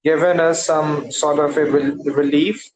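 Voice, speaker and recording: A man's voice: on the high side, fairly even intonation, a crowd in the background. A man whose first language is Urdu, an English learner speaking carefully and hesitantly in a casual practice conversation over a video call. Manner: careful, hesitant, many pauses and affirmations. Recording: roomy video call audio.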